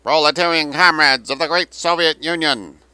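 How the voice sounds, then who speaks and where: A man in an audiobook voicing a Chinese propagandist who makes loud, imploring proclamations as if over a loudspeaker. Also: on the high side, fairly even intonation, normal speed, clean tone, dry recording, voice pushed up. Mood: pleading, declamatory. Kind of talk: dramatic audiobook character reading.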